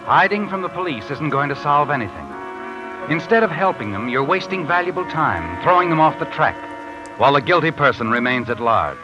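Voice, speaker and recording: A man's voice: a little high, big pitch swings, a little fast, lo-fi sound. A sinister, all-knowing storyteller from old-time radio. His delivery rises and falls dramatically, needling and accusatory, with music behind him. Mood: ominous. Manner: insinuating, taunting second-person address. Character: ominous all-knowing male mystery narrator addressing the guilty. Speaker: narrator. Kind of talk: 1950s radio mystery narration.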